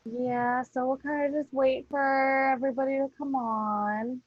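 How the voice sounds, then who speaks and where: A woman's voice: high-pitched, little pitch movement, unhurried, no echo. A woman podcast host chatting casually and playfully with swearing, on slightly dull online-call audio. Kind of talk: casual chat.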